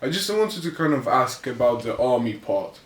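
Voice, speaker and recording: A man's voice: mid-range voice, big pitch swings, normal speed, a little hiss or hum. Teenage boy asking hesitant questions. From Poland. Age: teens. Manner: hesitant, filler-heavy questioning. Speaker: host. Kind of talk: casual conversation. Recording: echoey room with faint ambience.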